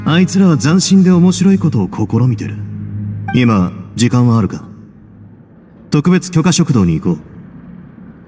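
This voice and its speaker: An actor playing a composed, wry young duke who runs a prison in a game, measured and nonchalant with quiet authority. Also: male voice, mid-range voice, natural voice. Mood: inviting. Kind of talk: game voice acting.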